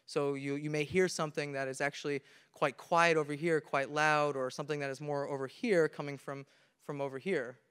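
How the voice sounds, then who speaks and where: A young man speaks into a handheld mic on a conference stage, earnest and methodical as he explains, often restarting mid-sentence. His voice sits a bit high and comes across smooth and resonant. The long reverb of a large hall hangs behind every phrase. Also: steady tone, a little fast, a bit bright. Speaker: conference presenter. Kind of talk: technical talk on stage.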